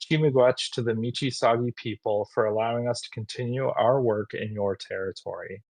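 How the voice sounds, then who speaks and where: A man's voice: mid-range voice, moderate pace, vocal fry, a little mellow, no echo, poor audio. Upbeat guy hosting a college webinar, lots of uhs and ums, dry close video call sound. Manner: friendly, filler-heavy, upbeat. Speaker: webinar host, college recruitment manager. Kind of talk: casual webinar introduction.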